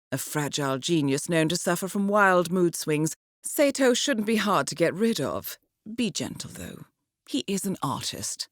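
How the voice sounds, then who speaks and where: A poised female intelligence handler for a spy video game. Her voice sits fairly low but moves expressively, with measured, formal phrasing and dry understatement. The sound is close and dry, as she guides an assassin through each job. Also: dry recording. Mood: poised, instructive. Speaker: mission handler. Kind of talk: scripted video game voice acting, mission briefing.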